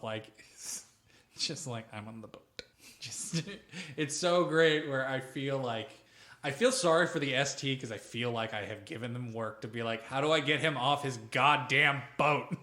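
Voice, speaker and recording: A man with a mid-pitched voice chats casually as a podcast co-host. He tells tabletop gaming stories in an animated, informal way, swearing freely and readily amused by his own tales. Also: moderate pace. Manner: animated storytelling, breaking into laughter, swearing. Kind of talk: casual chat about tabletop roleplaying.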